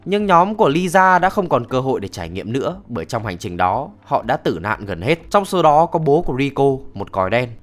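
A man narrating a scripted comedic recap in a slangy, tongue-in-cheek storytelling manner. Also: on the high side. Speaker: anime recap reviewer. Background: background music.

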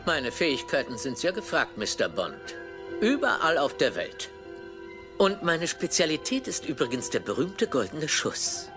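A self-important hitman doctor in a film dub. His high voice swings widely in pitch, and he is pedantic and courteous while keeping up the menace. Music runs behind him. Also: big pitch swings, unhurried. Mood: smug, boastful. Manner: pedantic, polite yet menacing. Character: fussy, self-important assassin doctor with a gun. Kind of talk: German-dubbed film acting. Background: film score music.

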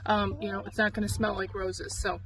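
A woman's voice: mid-range voice, little pitch movement, a little fast, a crowd in the background, poor audio. A middle-aged woman giving a chatty, informal how-to on a phone outdoors, explaining as she goes with some hesitation. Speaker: chicken keeper presenting a how-to. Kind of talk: casual chatty how-to vlog. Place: outdoors in a farmyard chicken run. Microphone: handheld phone at arm's length. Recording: outdoor phone audio with background noise. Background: chickens clucking, outdoor noise.

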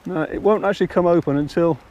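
A man's voice: high-pitched, quick pace, darkish tone. An older man casually explaining fishing steps outdoors on a riverbank, talking step by step. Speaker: angler and channel host.

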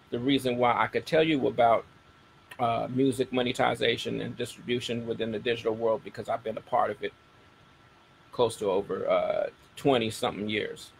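A man's voice: medium pitch, gravelly, slight background noise, low-quality recording. A middle-aged American man hosting a show, talking casually to his audience and repeating key phrases for emphasis. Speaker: radio show host. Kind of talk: casual talk to a broadcast audience.

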